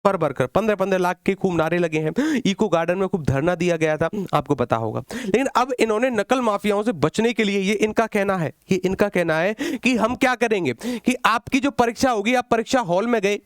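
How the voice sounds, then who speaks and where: A man's voice: high voice, brisk, few pauses, no room sound, studio quality. A man lecturing students as an exam coach, speaking directly and conversationally and often repeating points for emphasis. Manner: asks questions and repeats the answers for emphasis.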